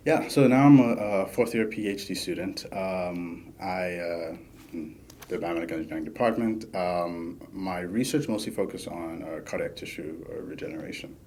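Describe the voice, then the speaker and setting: A man, a podcast guest talking casually with many pauses and fillers. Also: sits low, a little hiss or hum. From Bahamas. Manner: relaxed, many fillers while thinking. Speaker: podcast guest, PhD student. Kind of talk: casual interview chat.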